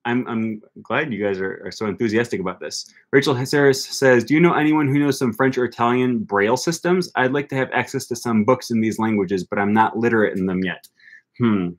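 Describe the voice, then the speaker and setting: An upbeat young man hosts a relaxed livestream and reacts to viewer comments as he goes. He talks loosely, with frequent ums and restarts. His voice sits close on a desk microphone in a small room. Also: fairly low pitch. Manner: upbeat, thinks aloud with many ums and restarts. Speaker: language-learning channel host. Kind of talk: casual livestream chat reading comments. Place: small room with bookshelf, indoors. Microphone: desk microphone close in front.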